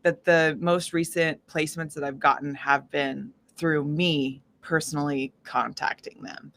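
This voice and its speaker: A woman speaking casually as an interview guest, an independent musician who tells stories in an animated way and acts out quoted dialogue. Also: low-pitched, unhurried. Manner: animated storytelling, often acting out quoted dialogue.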